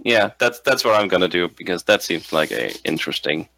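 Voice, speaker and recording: Bright-voiced man thinking aloud in a game. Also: deep voice, expressive, a little fast, creaky, dry recording, rough recording. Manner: hesitant, repeating words while thinking aloud. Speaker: tabletop game player. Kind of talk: casual tabletop roleplay chat.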